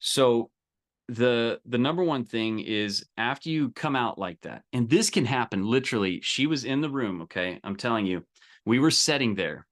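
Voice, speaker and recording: A man with a mid-pitched voice leads an informal coaching session. He speaks directly and emphatically in colloquial, salesy language. He often stops to check that his listeners are following. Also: animated, moderate pace, fairly bright tone. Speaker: business coach leading a group training call. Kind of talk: informal live coaching talk.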